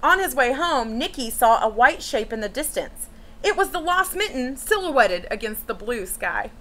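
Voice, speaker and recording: A woman reading a picture book to young students as a teacher, in an expressive storytelling style with chatty asides. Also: sits high, moderate pace, slight background noise. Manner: animated, with asides and questions to children. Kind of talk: expressive children's read-aloud storytelling.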